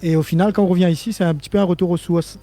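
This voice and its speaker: A man's voice: high voice, steady tone, rapid-fire, warm tone. An older man from Réunion speaking French with a Creole-inflected accent, a musician guest in a casual live interview who rambles, restarts and laughs often.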